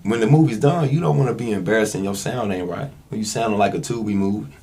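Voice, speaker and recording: A man's voice: fairly low pitch, fast talker, darkish tone. A man speaking as a podcast guest in casual, slangy conversation, candid and emphatic about his work as an actor. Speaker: interview guest, actor and independent filmmaker.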